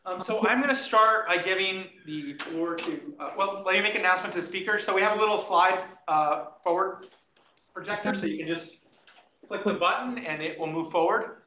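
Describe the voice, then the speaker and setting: A man opening an event with halting, joking remarks full of fillers. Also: high-pitched, pushed and bright. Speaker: event organizer. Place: lecture room. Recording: roomy with faint noise.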